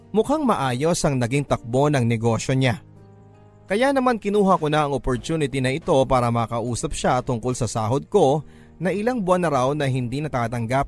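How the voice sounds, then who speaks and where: A man with a Filipino accent narrating a first-person drama story in an emotive, measured, classic radio style. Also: medium pitch. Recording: music under the voice. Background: background music.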